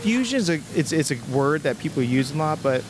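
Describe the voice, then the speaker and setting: American man interviewed over clear street noise. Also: a little high, very animated, brisk. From United States. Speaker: restaurant owner and chef interviewee. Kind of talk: casual spoken interview.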